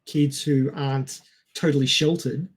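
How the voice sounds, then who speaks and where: A man co-hosting a casual film discussion, speaking in a halting, hesitant way and stretching out words. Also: medium pitch, steady tone, slightly rough. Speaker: podcast co-host.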